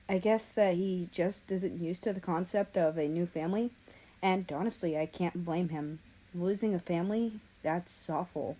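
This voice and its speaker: A woman narrating fan fiction as an amateur audiobook reader, in a soft voice. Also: lowish voice, rough recording. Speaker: story reader.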